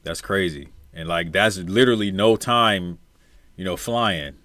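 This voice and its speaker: A man's voice: low voice, a little slow, fairly bright tone, no room sound. An American man giving casual commentary, repeating his phrases for emphasis. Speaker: commentator, former air traffic controller. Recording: noticeable background noise.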